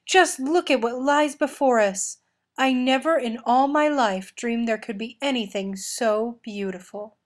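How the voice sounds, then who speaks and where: A woman voicing a gentle, kind young village woman in a storybook, tender and earnest. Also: fairly high pitch, measured pace, natural voice. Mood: awed.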